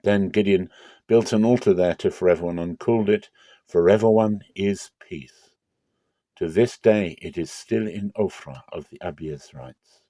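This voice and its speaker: A man gives a dramatic reading of scripture as narrator. His voice is low and dark, the delivery solemn and theatrical, and the recording is dry and close. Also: moderate pace, dry recording.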